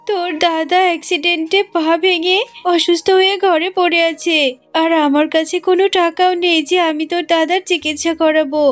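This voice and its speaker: A woman voicing a devoted, worried fox wife and mother in a cartoon story, with plaintive, homely, emphatic speech. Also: very high-pitched. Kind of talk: dramatised Bangla cartoon story.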